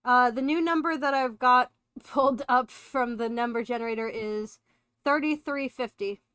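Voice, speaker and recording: A woman's voice: on the high side, normal speed, a bit bright, clear, resonant tone, no room sound, studio quality. A woman co-hosting a podcast in a casual, conversational style. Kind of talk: casual chat.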